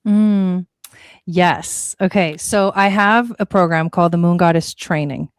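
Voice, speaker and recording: A woman's voice: lowish voice, measured pace, clean tone, dry recording, studio quality. A woman from Argentina who grew up in California, speaking as a guest teacher, explaining things in a chatty, casual way and often rephrasing. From born in Argentina, grew up in California. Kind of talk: casual explanatory interview talk.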